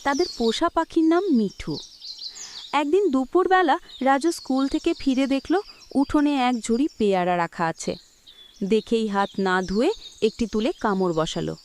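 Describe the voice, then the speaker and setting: A woman's voice: high-pitched, lively intonation, normal speed, a little mellow, slight background noise. A woman narrating a scripted children's story as the storyteller.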